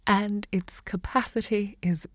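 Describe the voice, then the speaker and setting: An actress playing a self-assured, romantic literary hostess and seductress in an audio drama, theatrical and eloquent in delivery. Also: female voice, medium pitch, steady tone, a little slow, no echo, studio quality, close to an everyday voice. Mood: proud, impassioned.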